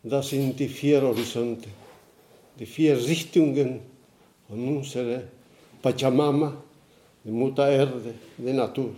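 Man from Bolivia, very slow solemn speech, mellow voice, echoey hall. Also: medium pitch, fairly even intonation. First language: Aymara. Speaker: guest speaker. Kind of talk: ceremonial speech.

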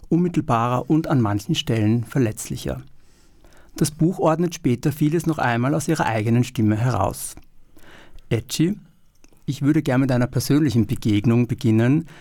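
A man hosting a radio interview show, reading a prepared introduction in a calm, measured way. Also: mid-range voice. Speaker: radio host. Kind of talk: scripted radio moderation. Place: radio studio.

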